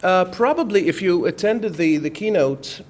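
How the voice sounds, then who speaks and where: A man in the audience of a conference workshop, speaking emphatically and filling pauses with "basically" and "you know". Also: high-pitched, animated, a little hiss or hum. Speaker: audience member, lecturer. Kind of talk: spontaneous comment. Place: conference room.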